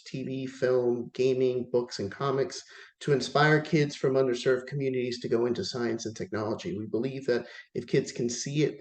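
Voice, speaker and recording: A man from Puerto Rico introducing himself to a panel, friendly and steady, mid-pitched. He runs through items like a list, with small fillers between them. The room gives his voice a noticeable echo.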